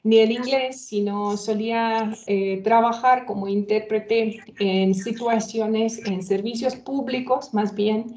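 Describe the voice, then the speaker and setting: Slow, hesitant woman with a Hungarian first language. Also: on the high side, fairly even intonation, warm tone, echoey room. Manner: many hesitations and self-corrections. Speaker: university official giving welcome. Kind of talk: hesitant welcome remarks.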